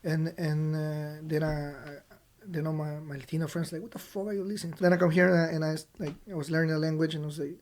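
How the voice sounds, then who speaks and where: A music expert from Peru talking as a guest on a casual podcast. The voice sits at a middle pitch. Delivery is halting, with repeated words and little acted-out quotes in the anecdotes. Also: level tone, a little mellow.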